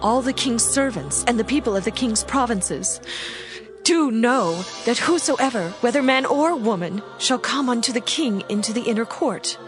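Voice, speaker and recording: A woman voicing Queen Esther, earnest and high. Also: animated, moderate pace, music in the background, close to an everyday voice.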